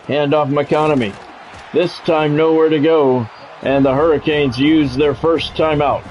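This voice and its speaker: A man's voice: on the high side, measured pace, dull audio, a little hiss or hum. A middle-aged or older man giving live play-by-play of a football video game in short, clipped announcer phrases. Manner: announcer-like, short clipped phrases, excited calls on big plays.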